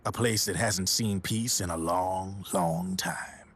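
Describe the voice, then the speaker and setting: A slick, worldly arms dealer in a video game cutscene. His voice is deep and husky. He talks in a measured, knowing way, like a dramatic storyteller. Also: fairly bright tone, exaggerated voice. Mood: somber, dramatic.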